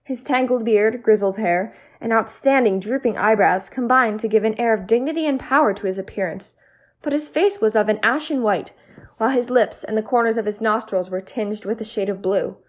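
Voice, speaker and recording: A woman's voice: a little high, a little fast, close to an everyday voice. A woman playing a loyal, inquisitive detective's companion and storyteller in an amateur audio drama, earnest and literary. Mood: solemn narrating. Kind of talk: amateur audio drama acting and scripted narration.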